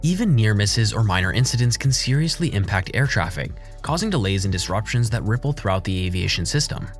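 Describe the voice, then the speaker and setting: A man reading a scripted explainer as a documentary narrator, with a measured, formal delivery. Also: lowish voice, lively intonation. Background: background music.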